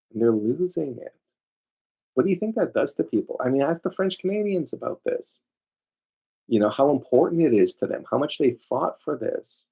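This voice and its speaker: A man lectures informally in a mellow, raspy voice with plenty of fry. He speaks emphatically, drifting into asides and putting rhetorical questions to his listeners. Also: medium pitch, low-quality recording. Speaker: lawyer presenting a seminar.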